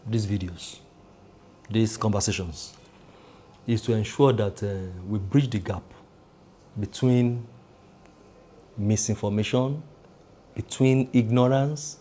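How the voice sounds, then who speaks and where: A Nigerian man giving measured political commentary, driving his points home with repeated phrases and lists. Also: mid-range voice, warm tone, slightly rough.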